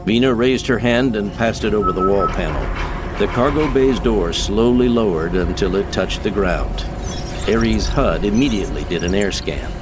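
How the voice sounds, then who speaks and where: A man's voice: lowish voice, moderate pace, over music. A man giving scripted, dramatic narration of science fiction as an audiobook narrator.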